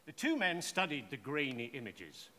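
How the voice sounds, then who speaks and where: A man narrating a scripted short story in a measured, theatrical way. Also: on the high side, sing-song, bright, forceful tone. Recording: echoey hall with faint noise.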